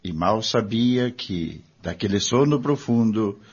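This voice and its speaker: A man's voice: fairly low pitch, expressive, takes it slowly, a little mellow, slightly rough. A man reading scripted dramatic narration for a radio drama, addressing the listeners. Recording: dull, lo-fi recording.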